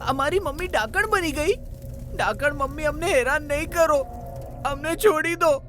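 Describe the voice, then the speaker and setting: A woman acts out a small, frightened child in a cartoon story. The voice is very high and whiny, pleading in a plaintive way, with faint music underneath. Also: normal speed, fairly bright tone. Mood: upset, pleading. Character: frightened, upset young child of the ghost mother.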